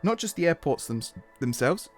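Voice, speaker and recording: A man, slightly hoarse, narrating his own gameplay in a rambling, self-correcting, casual voice-over. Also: a little high, sing-song, normal speed, soft background music. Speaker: gaming channel host. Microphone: desktop voice-over mic.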